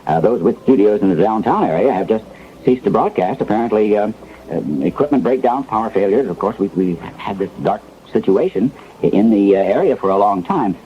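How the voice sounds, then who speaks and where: A man's voice: lowish voice, expressive, a little fast, highs cut off, a little hiss or hum, close to an everyday voice. A man playing a shaken studio news anchor in a 1970s radio drama, stammering and fumbling for words on an old recording. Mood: flustered. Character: shaken male radio news anchor reporting alien invasion.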